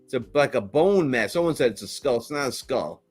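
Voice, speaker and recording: A man's voice: medium pitch, expressive, a little fast, husky, dry recording, faint music behind. A man co-hosting a casual podcast, talking emphatically and repeating himself as he pushes his theories. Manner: emphatic, repetitive, insistent theorizing. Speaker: co-host breaking down a trailer.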